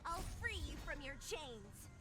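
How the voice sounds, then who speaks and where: High, lively game heroine. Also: female voice, a little slow, loud music behind the voice. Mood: poised. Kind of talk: short video game battle barks.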